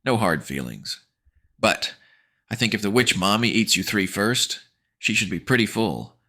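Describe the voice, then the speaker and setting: A man voicing a cowardly teenage boy who yells in a bratty, frantic way. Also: fairly low pitch, sing-song, a little slow, voice pushed up, exaggerated voice. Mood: taunting. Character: panicky cowardly teenage boy, whiny and shrill.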